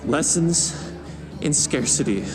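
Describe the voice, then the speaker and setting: Lovelorn young male student poet, theatrical, drawn-out delivery, highish voice, game recital. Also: expressive. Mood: lovelorn, earnest. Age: young adult. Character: earnest lovelorn college student reciting his own sonnet. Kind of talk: poetry recital in a video game. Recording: music behind the voice.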